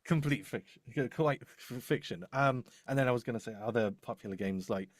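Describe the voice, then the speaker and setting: A man's voice: mid-range voice, fairly quick, dry recording. A man chatting casually and explaining his work, hesitant and rambling as he thinks aloud. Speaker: voice-over demo producer.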